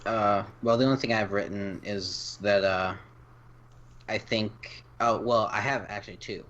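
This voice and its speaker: A podcast co-host chats casually in a very low-pitched voice. The tone is bright and forward, and the delivery is plainly conversational. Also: steady tone, measured pace, dull audio. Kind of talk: casual chat.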